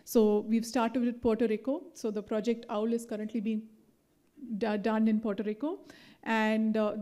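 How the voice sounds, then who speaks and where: A woman giving a live conference presentation in a mellow, slightly high voice. She talks loosely, full of fillers and little "right?" checks. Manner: chatty, many fillers and tag questions.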